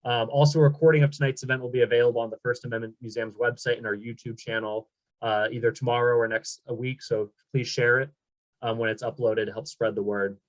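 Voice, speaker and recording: A man's voice: mid-range voice, flat delivery, fast, no room sound, rough recording. A man moderating a panel webinar over a video call, friendly and upbeat with frequent ums. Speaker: event moderator.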